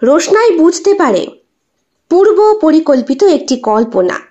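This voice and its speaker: A woman's voice: high voice, big pitch swings, measured pace, warm tone, dull audio. A woman reading a scripted suspense story in a dramatic storytelling style. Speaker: audio story narrator.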